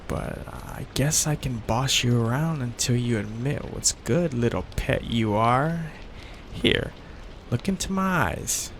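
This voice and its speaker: Male actor for young mafia heir, ASMR romance: doting, possessive, unhurried, with a lively pitch swing. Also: gravelly, slight background noise, heavily acted. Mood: tender, earnest. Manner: tender, coaxing, hesitant, asks for reassurance. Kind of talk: intimate ASMR boyfriend roleplay.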